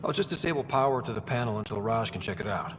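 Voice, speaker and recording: With long reverb and background noise, a man plays a helpful, concerned space explorer. The casual game dialogue has animated intonation. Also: mid-range voice, quick pace, lightly acted. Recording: reverberant noisy hall.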